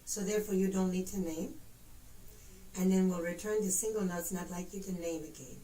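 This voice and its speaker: A woman's voice: lowish voice, a little fast, dark tone. A woman teaching a piano lesson, explaining notes in an encouraging, patient manner. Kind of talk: instructional music lesson, demonstrating and counting notes. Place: indoors at a piano, room echo. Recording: roomy, distant mic, faint piano.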